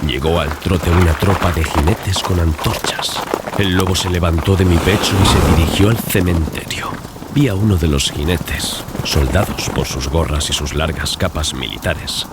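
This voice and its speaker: A man with a very deep voice narrates a horror audiobook. His delivery is scripted, dramatic storytelling. Noticeable ambient noise sits behind the voice. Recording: clear background ambience and noise. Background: sound-design ambience.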